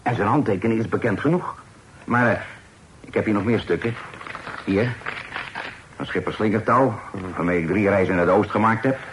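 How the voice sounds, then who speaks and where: Male actor for a cunning pirate, low voice, relaxed and sly, old radio play. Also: normal speed, long pauses, background noise, close to an everyday voice. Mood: persuasive. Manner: calm, sly, full of sailor's sayings. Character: smooth-talking male pirate, bold and sly.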